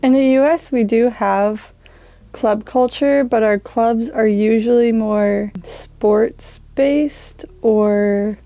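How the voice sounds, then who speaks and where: A young woman, an international language student, giving thoughtful, measured interview answers. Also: a little high, warm tone, no room sound, highs cut off. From United States.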